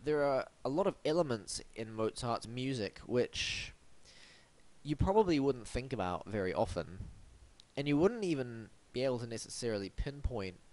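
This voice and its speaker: A man's voice: medium pitch, expressive, normal speed, a little mellow, low-quality recording. A man hosting a web design review, blocked up and sniffly from hay fever, with a wry, mock-formal, theatrical delivery. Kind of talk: scripted web review monologue.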